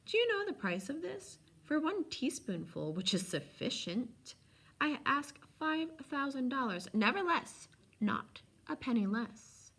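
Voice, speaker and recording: A woman's voice: sits high, big pitch swings, measured pace, a bit bright, voice pushed up, heavily acted. A woman voicing a polite, sly, coolly indifferent old man selling potions in a storybook reading, with precise, insinuating salesman's patter. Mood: indifferent, businesslike. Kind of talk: storybook read-aloud.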